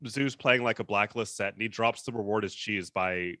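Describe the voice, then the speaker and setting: An easygoing man hosts a casual interview, upbeat and sprinkling in ums as he speaks. His voice is bright and forceful, and the recording is dry and close with no room sound. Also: lowish voice, moderate pace, no echo. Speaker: interviewer, host.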